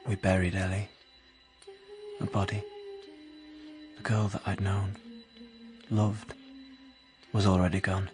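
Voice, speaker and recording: Moody young working class drifter, radio drama, lowish voice, faint music behind. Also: male voice, level tone, slow, long pauses. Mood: haunted, reflective. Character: brooding young working-class drifter narrating his own story. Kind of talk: radio drama dialogue and confessional narration.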